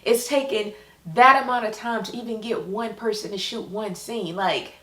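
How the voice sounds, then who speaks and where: A woman vlogger speaking candidly and informally in a halting way, as a writer talking about her own creative work. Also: mid-range voice, fairly bright tone. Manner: halting, informal, acts out quoted remarks. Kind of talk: candid personal vlog. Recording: echoey room sound.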